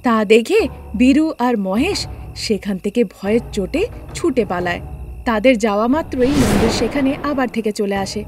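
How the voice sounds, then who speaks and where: Female cartoon narrator, higher register, scripted storytelling, music bed. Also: lively intonation, normal speed, a little mellow.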